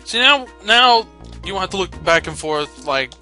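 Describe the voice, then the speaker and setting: A man's voice: sits high, lively intonation, moderate pace, bright, forceful tone. A man giving casual, irreverent let's play commentary, reading game dialogue aloud with playful asides. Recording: game music behind the voice. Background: video game music.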